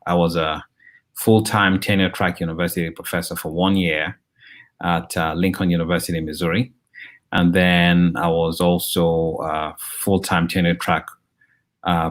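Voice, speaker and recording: A man in his fifties from Nigeria, a journalist and professor giving an interview, talking in a measured way with frequent ums and restarts. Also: deep voice. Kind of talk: conversational interview.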